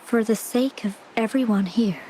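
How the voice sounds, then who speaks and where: An actress playing a gentle, ailing young woman in a video game, soft-spoken and hesitant in her delivery. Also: a little high, moderate pace, slight background noise, natural voice, rough recording. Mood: weary, resigned. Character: frail, gentle ill young woman, former landscape painter. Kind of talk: dramatic video game voice acting.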